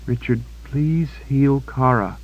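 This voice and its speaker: A man's voice: mid-range voice, slow pace, muffled recording, pitched above the performer's own voice. A male narrator voicing a wounded, desperate young woman who pleads with breathless panic. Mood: tearful.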